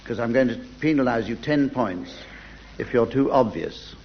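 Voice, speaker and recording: A man's voice: mid-range voice, animated, normal speed. A man chairing an unscripted comedy panel game in front of a studio audience. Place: live show before a studio audience. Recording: dull noisy old radio recording.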